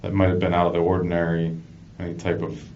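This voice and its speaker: A man questioning someone as a police detective, speaking haltingly and often repeating himself. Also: sits low, monotone, darkish tone. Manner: halting, repetitive questioning with frequent acknowledgements. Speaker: police detective interviewing a suspect. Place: indoor police interview room. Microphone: distant room microphone. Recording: distant, muffled, noisy interview recording.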